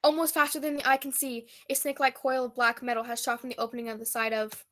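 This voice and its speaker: A woman's voice: a little high, level tone, fast, a bit bright, close to an everyday voice. An actress playing a pompous, florid female war correspondent delivering a dramatic report in a radio comedy. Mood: awed, urgent. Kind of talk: old-time radio news report parody.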